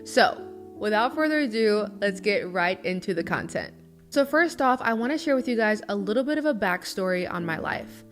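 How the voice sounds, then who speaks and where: A woman's voice: medium pitch, lively intonation, normal speed. Chatty young woman over guitar music. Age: early 20s. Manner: chatty and earnest, with lots of fillers like "you know". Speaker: lifestyle vlogger. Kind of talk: casual confessional talk to camera. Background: guitar music underneath.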